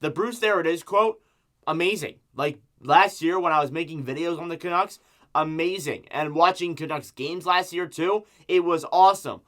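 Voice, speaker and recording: A man's voice: a little high, normal speed, a bit bright. A man with a sore throat from strep giving an emphatic, exasperated, opinionated sports rant as a fan making an online video. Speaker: hockey fan YouTuber.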